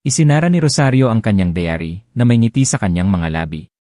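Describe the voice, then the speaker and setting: A man reads a romance story aloud in a gentle, expressive storytelling way, his low voice rising and falling with a lively lilt. The tone is dark and mellow, and the recording is dry and close with no room sound. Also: fast talker, no room sound. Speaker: audiobook narrator. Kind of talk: scripted storybook narration.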